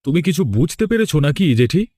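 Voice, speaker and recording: A man's voice: fairly high pitch, sing-song, a little fast, mellow, no echo, clean studio sound. A man playing a sombre, middle-aged villager in a horror cartoon, earnest and subdued. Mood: worried.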